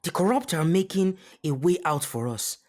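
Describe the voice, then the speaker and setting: A woman playing an earnest, clever boy adventurer with a purposeful delivery, reading an animated series script. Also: lowish voice, normal speed. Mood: determined. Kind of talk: animated series script at a live table read.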